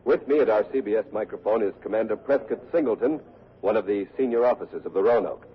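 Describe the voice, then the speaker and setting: An actor playing a grave, earnest war correspondent in a 1940s radio drama, speaking in measured, solemn, courteous phrases. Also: male voice, fairly low pitch, slightly rough. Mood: courteous probing. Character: grave, earnest war correspondent reporting live aboard a frigate. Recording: muffled old 1940s radio recording.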